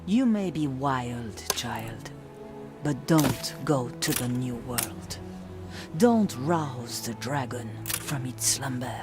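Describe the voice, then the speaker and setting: A woman's voice: very deep voice, lively intonation, music in the background, heavily acted. An actress playing a solemn, mysterious wise woman giving cryptic counsel in a video game. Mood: solemn warning. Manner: grave, deliberate, cryptic. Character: mysterious, solemn female harbor mistress and wise oracle. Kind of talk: dramatic video game dialogue.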